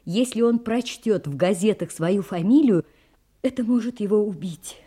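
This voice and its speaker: Dark-voiced, guarded young woman played by an actress. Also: medium pitch, slightly rough, lightly acted. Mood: guarded, resolute. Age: young adult. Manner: reserved, deliberate, resolute. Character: guarded, dignified young woman held as suspect. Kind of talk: radio play acting.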